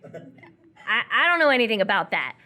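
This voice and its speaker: A woman as coy young woman, radio play, bright voice, lively pitch, playful, evasive, hesitant. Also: moderate pace. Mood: evasive, defensive. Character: coy, teasing, lonely captive young woman. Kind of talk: live radio theatre dialogue.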